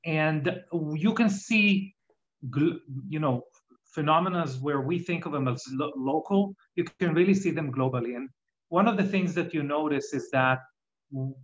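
A man's voice: on the high side, moderate pace. A man from Israel with an Israeli accent, talking reflectively in a casual conversation and explaining his points with examples. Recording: muffled lo-fi audio.

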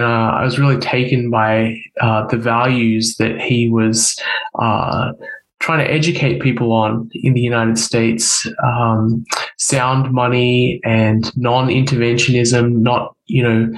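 A man with an Australian accent answering as a podcast guest in a conversational way, often pausing mid-sentence. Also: mid-range voice, low-quality recording.

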